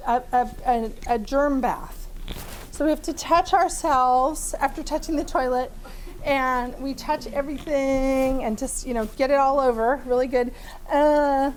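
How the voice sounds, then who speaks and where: Bubbly high-voiced woman leading a training. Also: slight background noise. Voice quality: big voice. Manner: lively, chatty, laughs often, many fillers. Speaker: therapist and trainer. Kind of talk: live training demonstration. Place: training room with a small audience.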